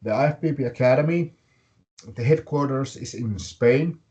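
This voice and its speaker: A man with a Finnish accent hosting a live webinar, speaking in short, halting, deliberate phrases. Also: medium pitch, mellow. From Finland. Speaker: webinar host and fitness academy director.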